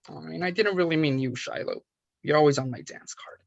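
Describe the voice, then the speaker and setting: A man's voice: on the high side, fairly quick, creaky, no room sound, lo-fi sound. Man playing a chatty bar regular, dry close sound. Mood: teasing. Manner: chatty, casual. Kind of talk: film script table read.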